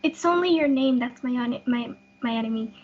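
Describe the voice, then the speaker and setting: Lovestruck young heroine, acted by a female student, earnest, high breathy voice, lo-fi stage reading. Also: fairly quick, close to an everyday voice. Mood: yearning. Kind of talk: student reader's theatre performance. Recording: dull lo-fi audio.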